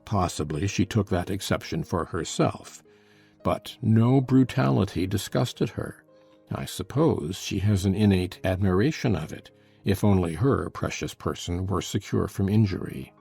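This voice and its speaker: An actor playing a scornful, cruel, brooding husband in a dramatized gothic novel, speaking with deliberate, sardonic contempt. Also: male voice, deep voice, gravelly, a little mellow, husky, soft background music, exaggerated voice. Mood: cruel mockery. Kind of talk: dramatized audiobook acting.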